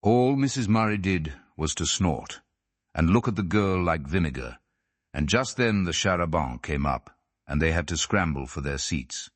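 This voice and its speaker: A man's voice: low voice, animated, moderate pace, dry recording. A man reading classic fiction aloud as scripted audiobook narration. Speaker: audiobook reader.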